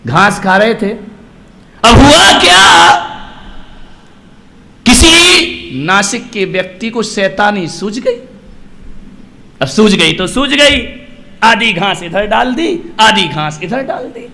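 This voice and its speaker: Guy preaching a story, high pitched n creaky, really theatrical, repeats lines for emphasis. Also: big pitch swings, slow, long pauses, sharp tone, slight background noise. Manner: theatrical, repeats phrases for emphasis, acts out dialogue. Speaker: Jain monk preacher. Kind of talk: animated storytelling sermon.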